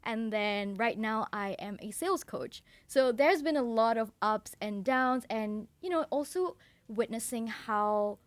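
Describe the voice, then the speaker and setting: A woman's voice: on the high side, lively intonation, a little slow, no room sound. A young woman from Singapore, a sales coach being interviewed on a podcast, who talks in an earnest, upbeat way and often builds her points as lists. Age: young adult.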